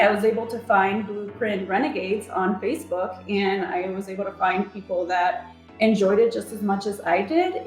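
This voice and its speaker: A woman's voice: medium pitch, a little mellow, reverberant, rough recording. A woman in her thirties from Los Angeles, a competition contestant talking casually about herself, peppering her speech with "like" and "you know". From Los Angeles, USA. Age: 30s. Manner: chatty, leans on "like" and "you know". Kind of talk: casual interview talk.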